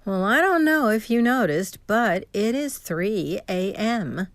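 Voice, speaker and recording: A woman plays an earnest, superstitious diner waitress in a radio mystery. She takes her time over each line, with lively pitch movement and a folksy turn, recorded dry and close. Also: takes it slowly, no room sound. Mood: earnest warning. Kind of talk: radio mystery drama acting.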